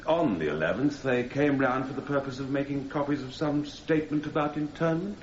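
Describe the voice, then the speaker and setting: A man's voice: mid-range voice, fairly quick, lightly acted. A man playing a stern, sceptical prosecuting barrister, pointed and incredulous, in a radio courtroom drama. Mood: pressing. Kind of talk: radio courtroom cross-examination acting. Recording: roomy, slightly muffled, faint background noise.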